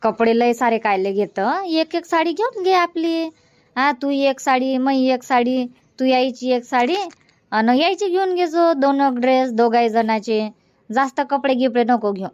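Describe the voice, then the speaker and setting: A woman's voice: high voice, measured pace, a little mellow, clean tone, no echo, muffled recording. A woman voicing a homely older village mother-in-law in a cartoon comedy, chatty and instructing, with a Varhadi Marathi accent. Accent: Varhadi Marathi from Vidarbha. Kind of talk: amateur cartoon comedy dubbing in village dialect.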